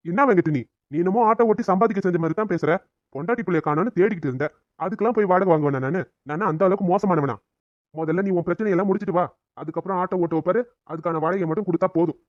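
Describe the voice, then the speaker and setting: A man doing a rapid, brotherly auto driver. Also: high voice, dry recording, natural voice. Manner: brisk, big-hearted scolding. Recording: slightly dull, dry.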